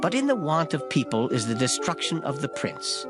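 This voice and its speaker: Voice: mid-range voice, sing-song, normal speed, natural voice. A reader giving a dramatized delivery of scripture in formal old English, emphatic and solemn. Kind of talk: dramatized Bible reading, formal King James English. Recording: loud music behind the voice. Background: music.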